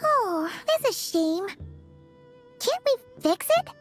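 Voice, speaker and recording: A woman voicing a tiny, eager, childlike fairy companion in a video game, squeaky and full of exclamation. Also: big pitch swings, slow, heavily acted. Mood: curious. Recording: background music.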